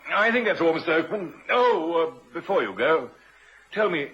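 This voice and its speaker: Probing inspector, vintage radio drama, lively intonation, brisk, dull hissy recording. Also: male voice, a little high, breathy edge, full character voice. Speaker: actor.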